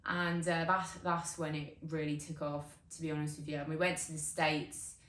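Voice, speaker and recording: A woman's voice: sits low, little pitch movement, moderate pace, a bit bright. Woman acting a chatty young musician, echoey room. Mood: chatty, confiding. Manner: chatty, slangy, earnest. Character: upbeat, zealous young British musician obsessed with perfect teeth. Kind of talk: monologue performed as a casual interview. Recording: roomy echo, background noise.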